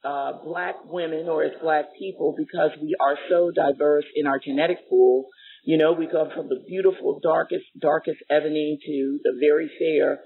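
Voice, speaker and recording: A woman's voice: low voice, steady tone, normal speed, husky. A woman hosting a podcast, talking conversationally with her guest. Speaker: podcast co-host. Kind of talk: conversational interviewing. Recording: narrow, phone-like sound.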